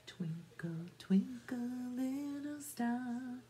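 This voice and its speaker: A middle-aged woman reading bedtime nursery rhymes aloud to young children in a soft, singsong, drawn-out way. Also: fairly high pitch, expressive. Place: small room at home, indoors. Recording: noticeable background room noise.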